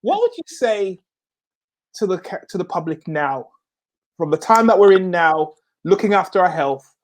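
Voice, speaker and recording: A man's voice: sits high, very animated, normal speed. A middle-aged man from Jamaica hosting a casual talk show, often repeating words as he starts sentences and turning phrases into slogans. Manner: stammering repeats, laughs often, emphatic slogans. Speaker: host. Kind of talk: casual chat.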